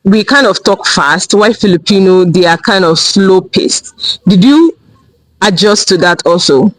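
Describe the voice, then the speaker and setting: A young man with a Nigerian accent leads a casual interview. His voice sits high, and he keeps his questions loose and chatty. The recording is dry, with no room sound. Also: a little slow, no room sound, rough recording. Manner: relaxed, chatty questions with frequent "like" fillers.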